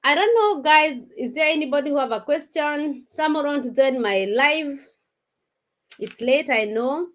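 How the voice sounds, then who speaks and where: A woman's voice: sits high, expressive, unhurried. A Kenyan woman in her thirties hosting a casual live stream, talking straight to her viewers with frequent restarts. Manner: chatty, addresses viewers directly, frequent restarts.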